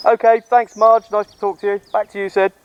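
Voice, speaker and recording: Man, fairly high voice, casual and matey radio hobbyist, recorded outside with some background noise. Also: little pitch movement, brisk, highs cut off. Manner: matey, informal British slang. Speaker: amateur radio operator and vlogger. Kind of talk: casual radio chat and outdoor vlog. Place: outdoors on a hill. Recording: clear outdoor background noise.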